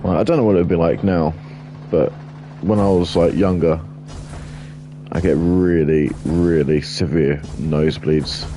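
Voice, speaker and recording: A man with a deep voice giving casual, rambling gameplay commentary while he builds, blunt and chatty. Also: fairly even intonation, a little slow, dark tone, soft background music. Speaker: gaming streamer.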